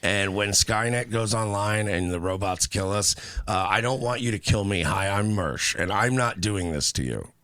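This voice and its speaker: A man's voice: fairly low pitch, moderate pace, few pauses, a bit bright, smooth, no echo. A man co-hosting a podcast who talks hesitantly with frequent ums and uhs. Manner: hesitant, lots of uh and um. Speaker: podcast co-host. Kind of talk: casual podcast banter.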